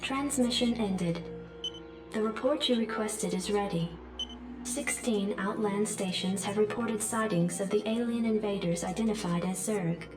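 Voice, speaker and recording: A woman's voice: medium pitch, unhurried, noisy background, natural voice. A woman voicing a formal, detached military computer adjutant, giving clipped announcements in a game briefing. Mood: impassive, official. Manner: clipped, impassive. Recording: echoing, distant, music behind.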